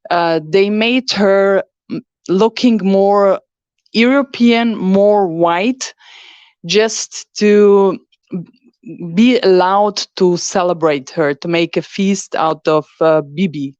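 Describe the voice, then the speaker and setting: A woman's voice: medium pitch, slow, darkish tone, smooth, no echo, lo-fi sound. A woman, a filmmaker answering at a festival Q&A, hesitant and full of fillers. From Serbia. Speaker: filmmaker on a panel.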